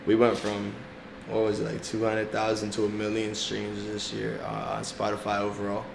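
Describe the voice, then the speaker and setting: A man's voice: lowish voice, monotone, moderate pace, vocal fry. Chill young guy, portuguese first language, slangy and laughing a lot, echoey noisy room. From South Florida, United States. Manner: laid-back, slangy, laughs often. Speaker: music artist guest. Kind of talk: casual interview chat. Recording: echoey room with background noise.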